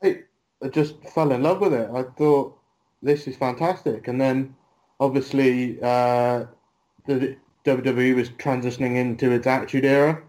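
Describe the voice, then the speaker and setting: Guy in his 30s, guest on a pod, easygoing chatty, middle pitch voice. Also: moderate pace, poor audio. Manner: relaxed, chatty, says mate. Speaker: guest wrestler. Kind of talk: casual interview chat.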